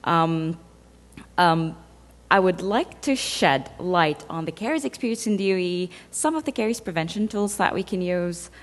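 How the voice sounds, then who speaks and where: Woman from Egypt, dentist presenting at a conference, low voice, animated pitch, casual asides. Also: normal speed. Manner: conversational, with fillers and playful asides. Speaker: dentist giving a conference talk. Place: large conference hall. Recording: reverberant lecture hall.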